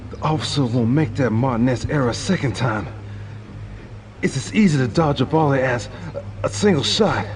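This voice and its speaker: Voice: medium pitch, lively intonation, moderate pace, a little hiss or hum, voice pushed up, lightly acted. A man voicing a desperate hunted man thinking aloud, urgent and stammering. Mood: panicked. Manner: urgent, stammering, rising with strain.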